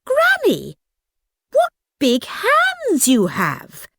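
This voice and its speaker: A woman's voice: very high-pitched, wildly swooping pitch, extremely slow, fairly bright tone, no room sound, clean studio sound, pitched above the performer's own voice, full character voice. A woman voicing a sweet, trusting little fairy-tale girl with an innocent, eager manner. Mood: wondering.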